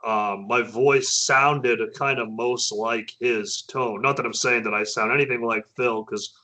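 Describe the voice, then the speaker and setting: A man, a metal band's vocalist, chatting casually as an interview guest, often halting and restarting his sentences. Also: fairly even intonation, pushed and bright. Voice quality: naturally low voice. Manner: hesitant, many restarts and fillers.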